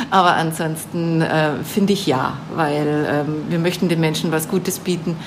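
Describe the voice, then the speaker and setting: Swabian woman shop owner, lowish voice, halting, lots of fillers, faint noise behind, recorded in her shop. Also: animated. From Baden-Württemberg. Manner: hesitant, many fillers. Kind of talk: interview answers.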